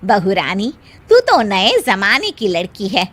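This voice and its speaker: A woman as an exasperated, sharp-voiced chiding aunt. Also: mid-range voice, big pitch swings, takes it slowly, exaggerated voice. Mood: astonished, chiding. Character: exasperated middle-aged aunt scolding her squabbling relatives. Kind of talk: acted dialogue in a Hindi moral story.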